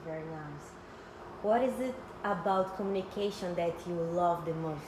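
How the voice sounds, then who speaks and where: Woman recruiter, interviewer, mid-pitched voice, echoey room with noise. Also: moderate pace. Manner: asks questions, some slips and restarts. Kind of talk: mock job interview.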